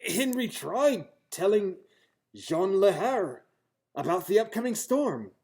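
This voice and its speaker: A man reading a children's storybook aloud in a theatrical, expressive style. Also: sits high, slow pace, husky. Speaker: storybook reader. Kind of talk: dramatic children's storybook narration.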